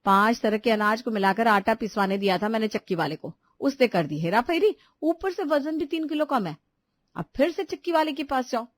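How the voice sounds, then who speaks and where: An irritable young daughter-in-law from a household story , acted by a woman. She complains and snaps, shifting blame in a high voice. The sound is close and dry. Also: lively intonation, brisk, dry recording, close to an everyday voice. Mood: snappy. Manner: complaining, snappy.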